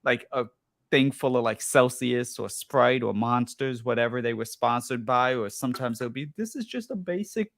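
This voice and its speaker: A man's voice: medium pitch, moderate pace, creaky, a bit bright, dry recording. Easygoing male stream host of Persian background, upbeat and casual, dry close mic. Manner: laid-back, upbeat, says "hell yeah" often. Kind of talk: casual live stream hosting.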